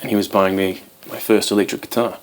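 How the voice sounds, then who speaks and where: A man's voice: sits low, little pitch movement, quick pace, clean tone, low-quality recording. A man in his twenties, born in Wales, chatting casually to camera as host of his guitar channel, rambling with many ums. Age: 20s.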